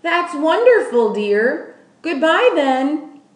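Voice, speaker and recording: Woman reader as a high, tremulous old country wife. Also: sing-song, takes it slowly, full character voice. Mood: wistful. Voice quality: tremulous, shaky. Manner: folksy, ungrammatical rural speech. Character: gentle, folksy old country wife, timid. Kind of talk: children's storybook character voice. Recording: echoey room.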